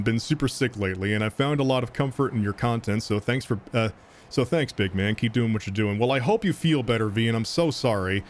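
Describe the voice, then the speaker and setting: A man's voice: mid-range voice, slight background noise. A man streaming a game and chatting casually with his viewers, thanking donors, rambling with lots of fillers and restarts, and laughing often. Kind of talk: casual gaming stream chat, reading donations.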